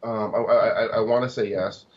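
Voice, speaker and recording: Male guest, forties, lowish voice, stop-start delivery, dull lo-fi recording. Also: steady tone. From Tacoma, Washington, US. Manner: halting, many fillers and restarts. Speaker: guest, cybersecurity executive. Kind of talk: interview conversation.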